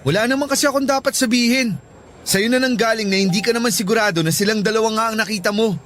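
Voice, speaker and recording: An actor playing a sweet, easygoing young student suitor in a Filipino radio drama, casual and earnest, with a Filipino accent. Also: male voice, sits high, quick pace, a bit bright, slight background noise. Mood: doubtful, defensive.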